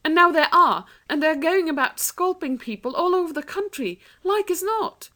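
A woman doing an urgent, excitable girl. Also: sits high, normal speed, pitched above the performer's own voice. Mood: anxious. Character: anxious, bossy, quick-witted young girl. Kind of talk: storybook reading.

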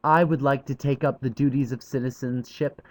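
A man's voice: mid-range voice, steady tone, moderate pace, darkish tone, voice pushed up, lightly acted. A man voicing an eager, earnest and slightly pompous schoolboy in an audiobook. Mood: self-important. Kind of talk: audiobook character voice.

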